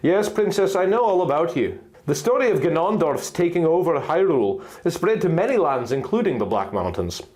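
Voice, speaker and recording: A man voicing a noble male griffin high lord who speaks in a formal, stately manner. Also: very animated, fairly quick, darkish tone. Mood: assured.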